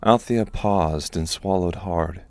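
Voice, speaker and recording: A man reading scripted narration for a dark fantasy audiobook. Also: low voice, slow, no echo.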